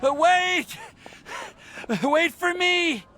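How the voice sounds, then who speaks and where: A man's voice: very high-pitched, extremely slow, background noise, exaggerated voice. A man voicing an earnest, apologetic young male alchemist in a video game, hesitant and flustered. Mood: apologetic, rushed. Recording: music behind the voice.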